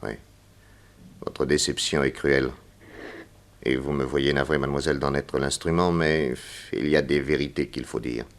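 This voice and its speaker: A man playing a grave, persuasive detective in a 1960s French radio serial, formal, deliberate and insistent. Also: a bass voice, steady tone, vocal fry. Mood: gravely persuasive. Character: grave, persuasive male detective, solemnly warning a young woman.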